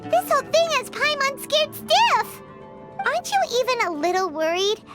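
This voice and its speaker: Squeaky, very high childlike fairy companion. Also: female voice, very animated, normal speed, a bit bright, faint music behind, full character voice. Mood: scared, fretful. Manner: speaks of herself in third person. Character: small anxious childlike fairy companion, chatty and eager. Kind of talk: video game voice acting.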